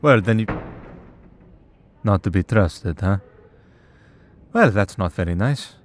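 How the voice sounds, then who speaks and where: A man's voice: on the low side, lively intonation, slow pace. A man voicing a centuries-old vampire who hunts monsters, wry, patient and dryly exasperated, in a scripted audio roleplay. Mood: measured, wry. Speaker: voice actor.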